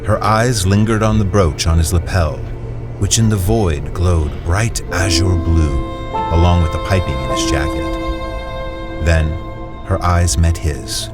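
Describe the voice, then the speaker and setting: Male narrator, very low voice, measured storytelling, music score underneath. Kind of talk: scripted narration.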